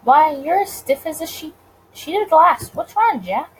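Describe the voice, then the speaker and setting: A smooth, teasing gangster charmer from a visual novel, played by a woman. The voice sits high and swings playfully in pitch, composed and mischievous, with clear background noise. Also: animated, a little slow, rough recording. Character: suave, playful male mobster with a sweet tooth. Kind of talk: visual novel character reading.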